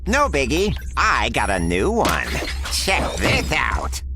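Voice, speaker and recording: A man voicing an eager tech-whiz robot sidekick in a video game, explaining technical things excitably. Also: mid-range voice, slow pace, music in the background, full character voice. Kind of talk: video game cutscene acting.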